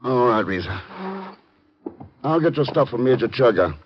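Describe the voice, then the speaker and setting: An actor playing a shrewd, cool intelligence agent in an old radio drama, clipped and matter-of-fact. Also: male voice, mid-range voice, expressive, moderate pace, a little hiss or hum, close to an everyday voice. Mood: probing, suspicious. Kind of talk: 1950s radio drama acting. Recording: muffled 1950s radio recording.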